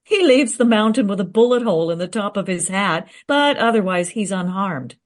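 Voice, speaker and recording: An actress playing a confident, eager researcher in a radio play, recounting history in a teasing, matter-of-fact way. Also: female voice, mid-range voice, animated, moderate pace, lightly acted. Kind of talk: radio play dialogue, storytelling.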